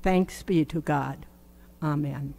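An older woman reads a scripture passage from a lectern in a church sanctuary. Her pace is unhurried and deliberate, each phrase set down with care. The sound comes through a lectern microphone. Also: deep voice, gravelly, dark tone. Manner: slow, measured reading. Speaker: scripture reader. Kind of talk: reading scripture aloud.

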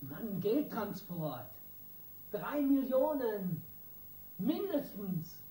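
An actor playing a hot-tempered small-time gang boss in a radio crime drama, coarse and threatening in street slang. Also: male voice, high voice, sing-song, very slow, dark tone, heavily acted. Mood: boastful, menacing. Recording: roomy, distant, noisy radio drama.

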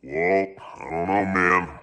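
A man's voice: sits low, slow pace. A man playing a blunt, accusing tough guy, confrontational and mocking, on a very noisy tape.

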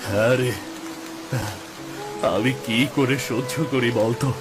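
A man's voice: mid-range voice, fairly even intonation, slow, over music, natural voice. A man voicing a poor, despairing farmer and father in a cartoon folk tale, with a plaintive delivery. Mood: despairing, lamenting. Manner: plaintive, lamenting.

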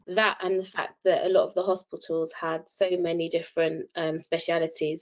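A woman in her thirties, a mature student, talking earnestly to applicants on a video call, with many pauses and ums. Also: on the low side, darkish tone. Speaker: first-year radiography student. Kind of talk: webinar talk to applicants. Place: home room, indoors. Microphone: laptop webcam video call. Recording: muffled video call audio.

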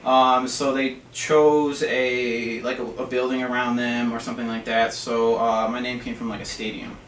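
A man's voice: medium pitch, flat delivery, normal speed, fairly bright tone. A young American man co-hosting a casual chat, bantering goofily and letting his sentences trail off. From United States. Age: young adult. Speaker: co-host. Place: small cluttered storeroom indoors. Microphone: far camera mic. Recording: echoey room, distant mic, faint hiss.

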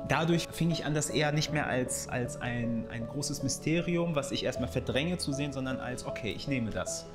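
A man giving colloquial, reflective personal testimony, restating phrases as he thinks aloud. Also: medium pitch. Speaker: interviewee, actor.

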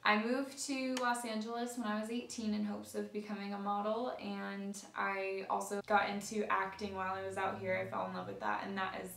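A woman's voice: medium pitch, flat delivery, brisk, gravelly, few pauses, a bit bright, a little hiss or hum. A woman in her twenties from Phoenix, an aspiring actress and model, earnestly telling her story for a documentary. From Phoenix, Arizona. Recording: roomy, distant mic.

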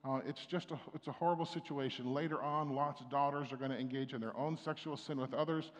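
A man's voice: medium pitch, steady tone, a little fast. Middle-aged man, pastor at the pulpit, conversational preacher, lots of restarts, recorded with long church reverb. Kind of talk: sermon. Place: church sanctuary. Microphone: stand mic at pulpit, far camera.

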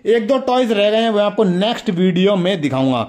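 High-pitched man showing off things. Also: big pitch swings, brisk, darkish tone. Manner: repetitive check-in tags, addressing viewers directly. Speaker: toy review host. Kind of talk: casual hands-on toy showcase.

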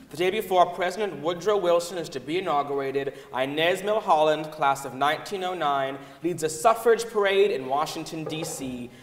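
Male narrator, young, somewhat high voice, scripted stage reading with strong hall echo. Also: fairly bright tone. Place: large wooden concert hall stage. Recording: echoey concert hall.

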